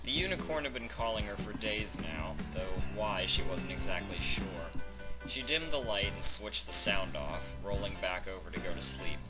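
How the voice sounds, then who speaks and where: A man's voice: deep voice, lively intonation, moderate pace, loud music behind the voice. A man reading a scripted fan fiction story aloud as its narrator.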